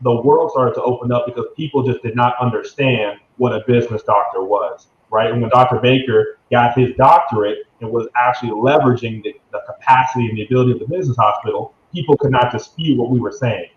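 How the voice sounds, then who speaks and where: Man, early twenties, average pitch, confident business explainer, conversational, echoey room, faint noise. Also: quick pace, few pauses. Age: 20s. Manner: confident, salesy, uses "right?" as a tag. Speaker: interview guest, young business executive. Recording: dull lo-fi sound, roomy echo, faint noise.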